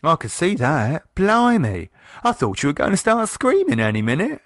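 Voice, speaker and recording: A man voicing a sharp-tongued wife, talking in a blunt, quick, scolding way. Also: on the high side, wildly swooping pitch, no echo, clean studio sound, voice pushed up.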